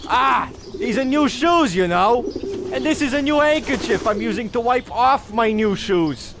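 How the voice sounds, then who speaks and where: A fussy, pompous gentleman in a theatrical radio play. His voice is very high and animated, full of exclamations, with faint noise and pigeons behind. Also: a little slow, full character voice. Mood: indignant. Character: pompous, fussy, easily annoyed well-dressed gentleman.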